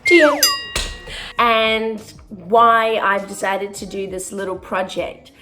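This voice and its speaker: A young woman talks straight to camera in an earnest, chatty way, often stopping on "um". Her voice sits at an ordinary middle pitch, and faint music plays underneath. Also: fairly even intonation, takes it slowly. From born in South Africa, raised in New Zealand. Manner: earnest and chatty, with frequent ums. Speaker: touring musical theatre performer. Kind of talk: casual vlog monologue to camera.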